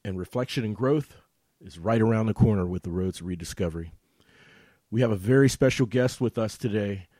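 A man hosts a podcast with an emphatic, rising delivery, leaning hard on key words as he opens the show. His low voice sounds close and dry, with no room behind it. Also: fairly quick, husky, no room sound. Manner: emphatic, warm-welcoming host delivery. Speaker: podcast host. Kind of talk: upbeat podcast hosting.

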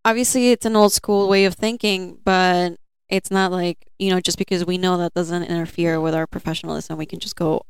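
A woman's voice: fairly low pitch, fairly even intonation, fairly quick, clear, resonant tone, dry recording. A woman in her twenties hosting an interview, chatting casually with many fillers. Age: 20s. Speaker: podcast host.

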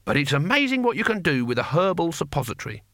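Man putting on a proud, gruff old barbarian hero. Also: a little high, big pitch swings, fast talker, fairly bright tone, no echo, studio quality, voice pushed up. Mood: admiring, proud. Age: elderly. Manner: colloquial, blunt, boastful.

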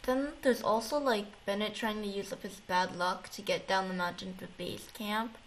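A woman in a casual chat, retelling things in a halting, rambling way with repeated false starts. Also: mid-range voice, fairly even intonation. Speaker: co-host recapping a video game story. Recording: clearly noisy recording with background ambience.

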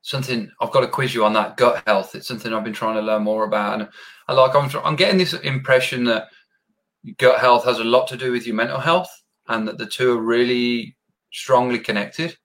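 A man hosting a podcast interview, encouraging his guest and pausing often with fillers. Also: medium pitch, a bit bright. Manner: encouraging, hesitant, many fillers. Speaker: podcast host.

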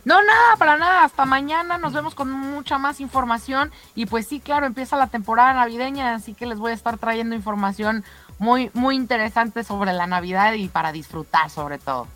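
Higher-pitched Mexican woman on morning radio. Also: a little fast, a bit bright, faint music behind. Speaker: radio show contributor. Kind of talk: casual morning show chat.

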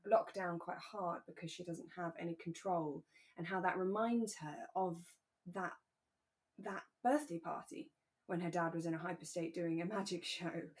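Candid, slightly low-voiced woman podcaster. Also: steady tone, moderate pace. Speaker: podcast co-host. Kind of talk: relaxed conversational podcast discussion.

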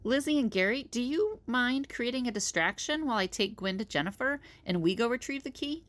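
A woman's voice: on the high side, expressive, brisk, fairly bright tone. An actress playing a level-headed, friendly woman prisoner who leads the plans in an audio drama. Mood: composed, planning.

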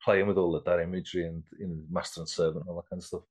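A man's voice: sits low, level tone, rapid-fire, darkish tone, husky. A man in his fifties, an artist being interviewed, talking casually and rambling with many restarts. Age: 50s. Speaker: artist guest.

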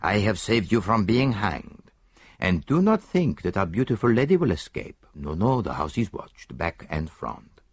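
A precise, theatrical older detective, put on by a male audiobook reader. His tone stays mildly reproving and full of rhetorical questions, and the voice is gravelly, with a wildly lively pitch. The recording is dry and close. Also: moderate pace, no room sound, exaggerated voice. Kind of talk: audiobook character voice.

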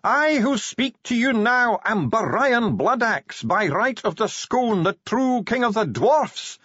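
A man voicing an earnest, scholarly dwarf translator in an audiobook, reciting ancient lore in slow, archaic, declamatory phrases. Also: high voice, very animated, a bit bright, breathy edge, no echo, muffled recording, voice pushed up, exaggerated voice. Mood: urgent, proclaiming.

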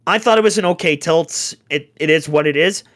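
A young man on a casual sports chat, ranting in an exasperated way with rhetorical questions, his voice going hoarse. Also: on the high side, brisk, a bit bright. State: getting hoarse. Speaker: podcast co-host, hockey fan.

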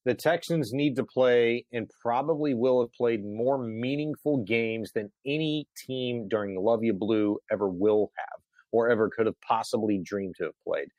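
A man's voice: mid-range voice, normal speed, no echo, lo-fi sound. A man in his forties co-hosting a sports show, chatting in an emphatic, colloquial, ranting way. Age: 40s. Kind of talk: excited sports talk chat.

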